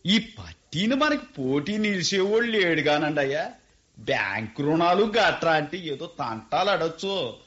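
A man's voice: on the high side, animated, normal speed, a bit bright. A man playing a sly, jolly village moneyman. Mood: sly banter. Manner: boisterous, laughing, rural dialect. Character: shrewd rustic rich man, jovial and sly. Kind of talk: radio play acting. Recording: dull lo-fi radio recording.

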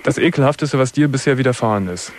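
He is a man hosting a phone-in show on the radio. His pitch sits in the middle for a man. He speaks casually and attentively, feeding short questions and acknowledgements to callers, with background noise around him. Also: lively intonation, fast. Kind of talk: probing casual talk with callers.

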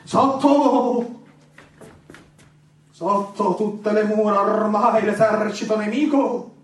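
An actor playing a self-adoring general and dictator in a stage play, orating grandly and haltingly with sudden swells. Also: male voice, high-pitched. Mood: pompous, proclaiming. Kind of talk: theatrical stage speech. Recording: echoey theatre stage, distant microphone.

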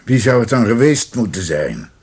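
Stubborn father, middle-aged, radio drama: lowish rough voice, firm, formal, clipped. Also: very animated, moderate pace, darkish tone. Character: proud, stubborn father refusing police protection. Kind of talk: Dutch radio drama acting.

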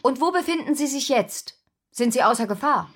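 An actress playing a composed TV news anchor who puts formal, measured questions in a radio drama. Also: female voice, high-pitched, lively intonation, a bit bright, slight background noise. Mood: composed, probing.

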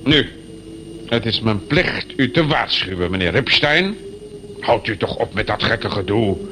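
An actor in a radio play playing a fussy, officious police inspector, insistent and mock-courteous, on a noisy old recording. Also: male voice, lowish voice, lively intonation, unhurried. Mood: warning. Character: officious, exasperated, fatherly male police inspector.

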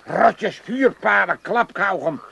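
An older actor with an Amsterdam accent playing a pompous, excitable old father who stammers and repeats himself in a comedy radio play. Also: high voice, takes it slowly, gravelly, highs cut off, slight background noise, full character voice. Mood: blissful, dreamy. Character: blustering, excitable old Amsterdam father, cackling at others' misery.

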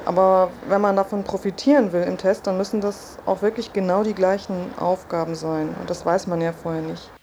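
A woman's voice: fairly low pitch, fairly even intonation, brisk, hardly any pauses, a little mellow. A woman talking casually in conversation. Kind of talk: casual conversation about taking tests. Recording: clearly noisy location recording. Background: ambient noise.

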